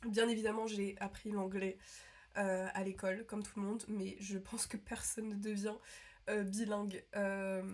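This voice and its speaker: A woman's voice: mid-range voice, darkish tone. A young woman from France chatting casually to her viewers, rambling with lots of fillers and restarts. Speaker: vlogger. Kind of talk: casual chat to camera.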